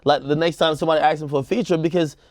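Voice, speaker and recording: A man's voice: fairly high pitch, fairly even intonation, rapid-fire, darkish tone, dry recording. A man co-hosting a music news show, talking in a casual, slangy way as he thinks aloud. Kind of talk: casual panel discussion, slangy commentary.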